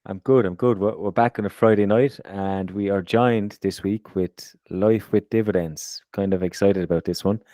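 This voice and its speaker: A man's voice: lowish voice, fairly even intonation, moderate pace, hardly any pauses, mellow, no echo, studio quality. A man co-hosting a podcast in a casual chatty way, often repeating words as he talks. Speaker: podcast co-host. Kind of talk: casual chat.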